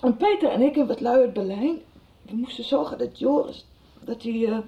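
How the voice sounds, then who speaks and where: An actress playing a desperate, bankrupt woman who makes a halting confession about a revenge plot in a radio soap. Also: on the high side, creaky. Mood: bitter, hushed. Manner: broken, halting confession, swinging between sobbing and hushed bitterness. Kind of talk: 1990s radio soap acting. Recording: dull lo-fi radio recording, distant mic.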